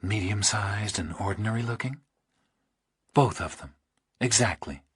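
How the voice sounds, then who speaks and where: A man voicing a serious, matter-of-fact female investigator with a husky voice in a thriller audiobook. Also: fairly low pitch, measured pace, a bit bright, dry recording, clean studio sound, heavily acted.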